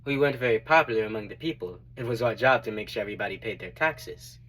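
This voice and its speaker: A man performing a dramatic monologue as a repentant tax collector turned devoted disciple, earnest and reflective, with deliberate emphatic swells. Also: lowish voice, fairly bright tone. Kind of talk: dramatic monologue, first-person testimony.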